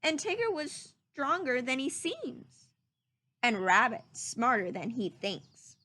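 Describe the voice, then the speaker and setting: A woman voicing a gentle, loving, simple-hearted male toy bear in a storybook, speaking slowly and earnestly. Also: high-pitched, sing-song, heavily acted. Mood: fond, thoughtful.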